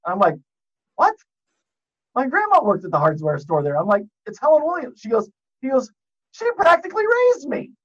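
A man's voice: very high voice, wildly swooping pitch, normal speed, a bit bright, highs cut off. A man on a podcast as a guest, telling rambling, profane stories and laughing at his own jokes. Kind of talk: casual podcast chat, storytelling.

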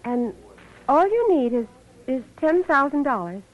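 High, trusting radio-drama sweetheart. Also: female voice, big pitch swings, measured pace. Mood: gentle, curious. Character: trusting, eager woman in love with schemer. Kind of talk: 1940s radio drama acting. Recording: muffled old radio with faint noise.

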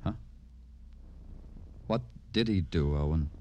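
An actor playing a world-weary, brooding police detective in an old radio crime drama, speaking in terse, hard-boiled lines. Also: male voice, very deep voice, slow pace, mellow. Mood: terse, probing. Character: world-weary male police detective, brooding, narrating his own cases. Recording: old radio broadcast with music.

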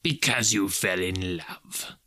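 A man performs a grumpy old miser from a classic storybook. He is stern and scornful, growling his indignation with a very mobile pitch. The sound is close and dry. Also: expressive, normal speed, bright, forceful tone, no echo. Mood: contemptuous growl. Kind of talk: dramatized storybook reading.

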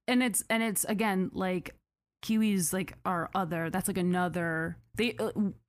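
A young American woman talks through a story in easygoing podcast chat, leaning on "like" and jokey asides. Her voice sits on the low side. The recording is close and dry, with no room sound. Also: normal speed, no room sound. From United States. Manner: chatty and animated, many "like" fillers, jokey asides. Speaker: podcast co-host.

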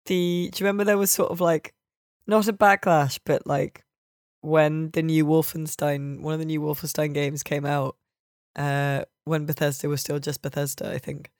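A woman's voice: low voice, level tone, normal speed, a little mellow, no echo. Female podcast host. Informal, discursive conversational delivery, recorded dry with no room sound. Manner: informal, discursive, peppered with "like" and "you know". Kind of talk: casual chat.

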